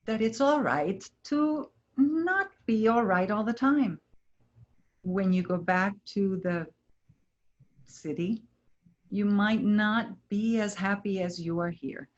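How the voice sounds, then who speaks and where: A woman in a staged play reading as a wise, gentle river spirit, giving measured, earnest guidance. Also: medium pitch, expressive. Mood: tender, encouraging. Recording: dull lo-fi recording.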